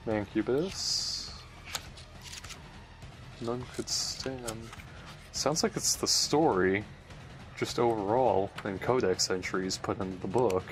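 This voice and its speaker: A man giving casual unboxing commentary as host, muttering to himself as he handles things. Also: mid-range voice. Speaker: host of a game unboxing video.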